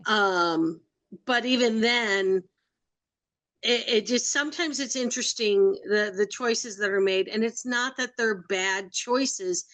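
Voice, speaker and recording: A woman's voice: medium pitch, takes it slowly, a bit bright. A woman co-hosting a podcast, musing thoughtfully and hesitating with fillers as she talks. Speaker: podcast co-host. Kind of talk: casual discussion.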